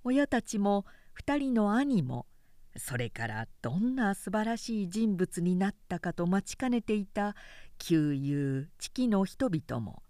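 A woman reading a literary short story aloud as a narrator, with measured, expressive storytelling delivery. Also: mid-range voice, dry recording, studio quality. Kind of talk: scripted literary narration, audiobook recitation.

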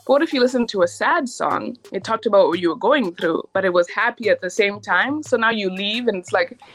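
A woman's voice: medium pitch, animated, brisk, faint music behind. A young woman, a recording artist, chatting casually in an interview and filling her talk with "like" and easy laughs. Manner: chatty, lots of "like" fillers, laughs easily.

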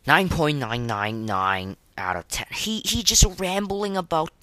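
Casual podcast host, medium pitch, rambles and repeats, stop-start delivery, close dry recording. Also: very animated, bright, forceful tone, no echo. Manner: rambling, halting, repeats words and phrases. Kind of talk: casual chat rating game voice lines.

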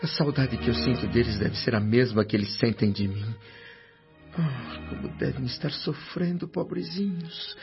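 An actor playing an earnest, anxious grown son, a newly departed spirit, in a radio drama, with an emotive and respectfully formal manner. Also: male voice, medium pitch, moderate pace, vocal fry, natural voice. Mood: longing. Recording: dull radio drama track with music.

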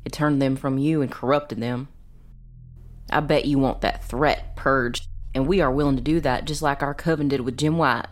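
A woman's voice: a bass voice, steady tone, moderate pace, faint music behind. A woman playing a proud, defensive witch mother in an audiobook drama, speaking sharply and coldly. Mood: firm, defiant. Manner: sharp, cold, defiant.

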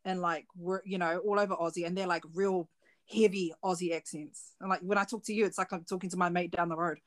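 A woman's voice: fairly low pitch, fairly even intonation, fast talker. A woman with a New Zealand accent hosting a podcast in a casual, slangy, informal chat. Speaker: podcast host.

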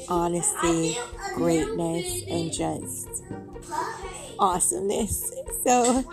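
Raspy young woman rambling to her camera at home, giggly and upbeat, music playing behind. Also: on the low side. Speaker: vlogger. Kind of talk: casual motivational talk to camera.

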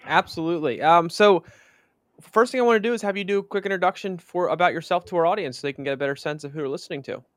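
A man hosting a podcast, upbeat and smooth as he asks his guest questions. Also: sits high, fast talker, dry recording, clean studio sound. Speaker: podcast host. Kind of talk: podcast hosting and sponsor read.

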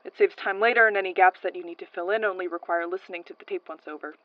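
A woman playing a brisk, businesslike archival transcriptionist in an audio drama, matter-of-fact and a little curt. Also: lowish voice, fairly even intonation, no room sound, dull audio, close to an everyday voice. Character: brisk, businesslike female archival transcriptionist showing a newcomer around. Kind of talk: naturalistic audio drama acting.

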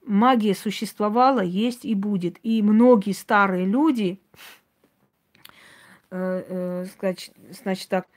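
Female host for an informal talk, medium pitch, plain-spoken and opinionated, conversational delivery. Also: slow pace. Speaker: host, self-described witch. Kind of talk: informal talk to live audience, answering comments.

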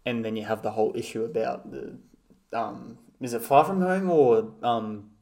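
A man's voice: medium pitch, moderate pace, vocal fry, darkish tone. Casual man co-hosting a film podcast. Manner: casual and hesitant, many ums and likes. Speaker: podcast co-host. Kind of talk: casual film rewatch chat.

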